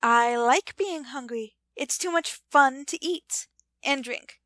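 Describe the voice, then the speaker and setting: Female actor as playful 1940s radio-thriller woman: high-pitched, slow-drawling, teasing and breezy, closely and dryly recorded. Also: fairly bright tone, dry recording, studio quality. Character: breezy, teasing woman humoring an obsessed inventor. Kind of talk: retro 1940s radio drama acting.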